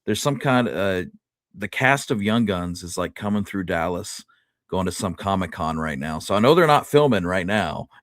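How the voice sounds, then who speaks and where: A man's voice: deep voice, lively intonation, moderate pace, dry recording, clean studio sound. A man speaking casually as a podcast co-host, with an easygoing manner.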